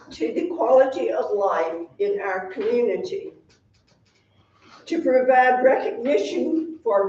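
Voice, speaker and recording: Slow-speaking woman in an echoey hall. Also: on the high side, warm tone, slight background noise. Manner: slow, measured delivery, reading prepared remarks. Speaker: hall of fame president presenting an award. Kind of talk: formal award presentation speech. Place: town hall meeting room. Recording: echoey hall, distant, dull sound.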